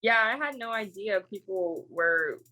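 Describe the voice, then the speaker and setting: A woman's voice: medium pitch, animated, unhurried, bright, forceful tone, soft background music. A woman in her twenties from Florida with a Southern accent, answering interview questions in a laid-back, hesitant way from a hotel room. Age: 20s. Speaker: interview guest.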